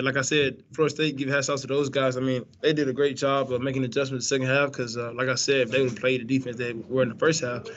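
A young man fields questions at a post-game press conference over a video call, relaxed and rambling, with plenty of fillers and restarts. His voice sits in an even, narrow pitch band. The audio is dull and lo-fi, like a muffled webcam. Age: young adult. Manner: laid-back, many fillers and restarts. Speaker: college quarterback. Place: indoor press room, branded backdrop.

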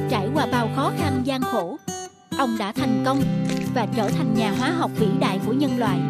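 Female storybook narrator, high register, scripted read over music.

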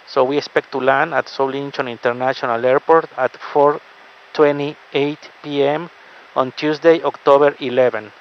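A man speaking as an airline captain, giving a formal in-flight announcement over the cabin PA. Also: fairly high pitch. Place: aircraft public address system. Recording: muffled cabin PA with noise. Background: cabin noise.

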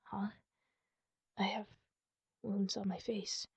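A woman playing a wounded, guarded and prickly masked superheroine in an ASMR roleplay, half-whispering in a breathy, husky voice with halting, pained sighs. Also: medium pitch, level tone, no room sound, lo-fi sound, full character voice. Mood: defensive. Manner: halting, sighing, pained delivery.

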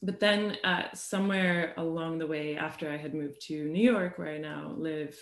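A woman's voice: sits low, fairly even intonation, moderate pace, reverberant. A woman in her thirties who grew up in Sweden with Swedish as her first language, speaking thoughtfully on a video call panel with many fillers. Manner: thoughtful, many ums and uhs. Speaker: translator and panelist. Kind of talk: conversational storytelling. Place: bright home room.

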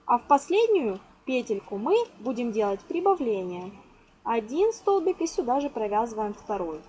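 High, lively woman giving a tutorial. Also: normal speed. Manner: instructional, counts aloud, uses affectionate diminutives. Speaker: craft tutorial host. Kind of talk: step-by-step crochet lesson, informal narration. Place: indoors at a table. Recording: clear background noise, amateur home recording.

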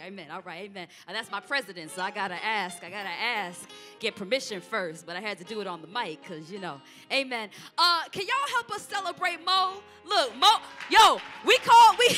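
A woman pastor hosting a church service on a handheld mic, exuberant, calling out to the congregation for responses. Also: sits high. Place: church stage, indoor. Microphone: handheld microphone. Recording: live church audio with music behind.

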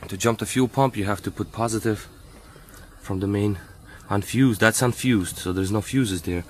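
Male home mechanic, low register, casual running commentary over a noisy outdoor camera recording. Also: normal speed. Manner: talks to himself while working, short muttered remarks. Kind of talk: hands-on repair walkthrough. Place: outdoors at a car's engine bay.

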